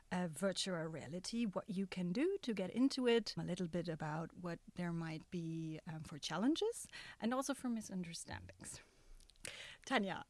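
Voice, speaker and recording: A woman's voice: fairly low pitch, no room sound. Friendly woman podcast host. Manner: friendly, upbeat, with frequent fillers. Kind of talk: casual interview hosting.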